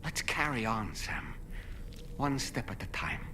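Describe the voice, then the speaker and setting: A serious thoughtful advisor guy in a game, very varied intonation, measured words, rumbly noise behind him. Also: very animated. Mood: sombre, encouraging. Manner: measured, reflective, formal phrasing. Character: earnest, grave male advisor counselling a comrade. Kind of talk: dramatic video game cutscene monologue.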